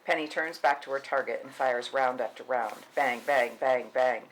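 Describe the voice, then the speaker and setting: A man reads scene headings and action from a film script. His voice has a middling pitch, in scripted table-read narration, with faint noise behind it. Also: normal speed, fairly bright tone, poor audio. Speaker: stage-direction narrator.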